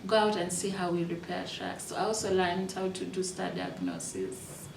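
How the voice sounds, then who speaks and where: A Kenyan woman in her thirties telling her life story in a reflective, unhurried way, with fillers and restarts. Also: fairly low pitch, very animated, recorded from a distance, background noise. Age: 30s. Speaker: interviewee. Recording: echoey room, voice from off-mic.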